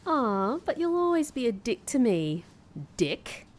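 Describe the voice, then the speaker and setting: Actress as flustered young woman, dry sarcasm, halting, slow pace, very expressive pitch, audio drama comedy. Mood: snide. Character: sardonic young woman, back from captivity, flustered.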